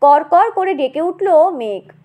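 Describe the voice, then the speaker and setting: A woman narrating a comic short story in an expressive, theatrical manner. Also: high-pitched, takes it slowly.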